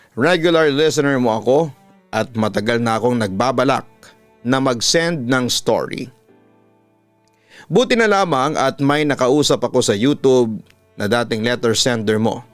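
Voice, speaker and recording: A man with a Filipino accent reading a listener's horror letter aloud as a storytelling host. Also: mid-range voice. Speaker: host and narrator of a listener-story channel, radio announcer. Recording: music bed behind the voice.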